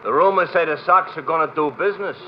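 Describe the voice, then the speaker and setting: An actor playing a chatty, folksy cab driver who repeats himself in slang, in an old radio drama. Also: male voice, fairly high pitch, fast talker, natural voice. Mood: chatty, pestering. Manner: garrulous, repetitive, slangy working-class talk. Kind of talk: golden-age radio drama acting. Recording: muffled, hissy vintage radio recording.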